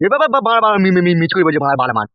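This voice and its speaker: A man's voice: high-pitched, sing-song, rapid-fire, no room sound, highs cut off. A man voicing a bullying, lecherous local politician in a comic cartoon, crude and blustering. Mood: exasperated. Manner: blustering, crude, exclaiming in repeats.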